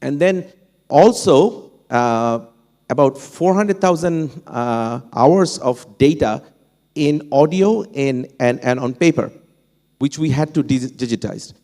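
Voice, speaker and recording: A man from Bangladesh, a native Bangla speaker with a Bangladeshi accent, speaking on a panel in a halting way, with many fillers and restarts. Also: medium pitch, dark tone. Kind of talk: unscripted panel talk. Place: conference hall. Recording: long hall reverb.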